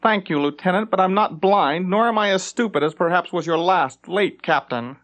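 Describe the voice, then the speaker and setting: A man's voice: high voice, normal speed, a bit bright, voice pushed up. A man voicing a haughty, self-important elven ship captain with a sneering, condescending delivery in a fantasy audiobook. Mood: sneering, contemptuous. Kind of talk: audiobook character acting in a fantasy story.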